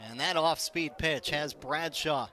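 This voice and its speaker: A man's voice: fairly high pitch, measured pace, sharp tone, a little hiss or hum. A man calling a live baseball game on the radio as play-by-play announcer, in clipped, descriptive phrases. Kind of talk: live radio sports commentary.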